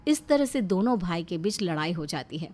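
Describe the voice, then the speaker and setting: A woman's voice: fairly low pitch, sing-song, normal speed, darkish tone. A woman narrating a scripted family story as a storyteller.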